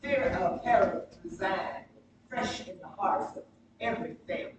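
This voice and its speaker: Woman preacher, slow emphatic sermon delivery with dramatic pauses, picked up off-mic over clear background noise. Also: medium pitch. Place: church sanctuary during a live service. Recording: very echoey, distant mic, noisy church livestream.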